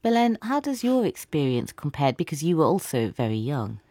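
Low, lively woman asking questions. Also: normal speed, no room sound. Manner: brief prompting questions. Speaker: interviewer. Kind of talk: interview questions.

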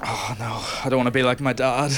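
A young man telling a stand-up comedy story to a live audience, with self-deprecating, deadpan asides. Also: medium pitch, fairly quick, a crowd in the background.

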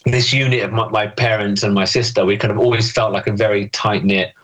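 A man's voice: lowish voice, steady tone, brisk, fairly bright tone, low-quality recording. A young man answering interview questions thoughtfully, with many fillers and restarts. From England. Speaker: interview guest.

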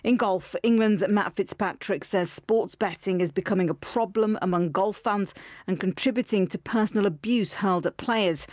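A woman reading a scripted sports news bulletin on the radio in a brisk, clear, even way. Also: lowish voice, no echo, studio quality.

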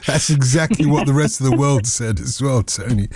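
A man's voice: medium pitch, moderate pace, noisy background. A man hosting a late-night radio music show, chatting casually with a caller in a playful, wordy, teasing way.

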